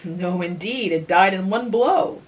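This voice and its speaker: A woman's voice: medium pitch, unhurried, recorded from a distance, muffled recording, lightly acted. A woman voicing a proud young woman who speaks coolly with slight contempt.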